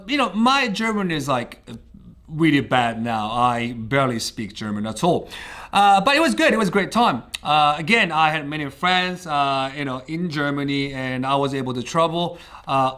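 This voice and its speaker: A Japanese man in his thirties talks to camera in English with a British-tinged accent, pausing often on "uh." His voice sits fairly high and swings up and down as he talks. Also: sharp tone. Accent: Japanese speaker of English with British traces. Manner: chatty, frequent "uh" fillers, playful. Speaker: vlogger. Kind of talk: casual personal storytelling to camera.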